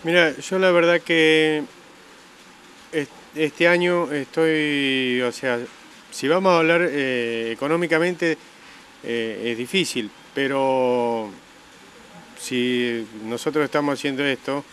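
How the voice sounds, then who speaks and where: A man's voice: mid-range voice, fairly even intonation, takes it slowly, fairly bright tone. Middle-aged Argentine man, faint background noise. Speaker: sheep breeder interviewee. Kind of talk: spontaneous interview answers. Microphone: handheld microphone.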